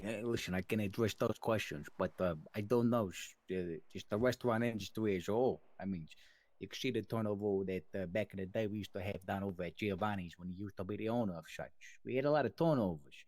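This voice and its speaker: A man's voice: fairly low pitch, darkish tone, no echo, close to an everyday voice. A man playing an earnest, long-winded mobster who rambles and speechifies in an improvised online game roleplay. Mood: insistent.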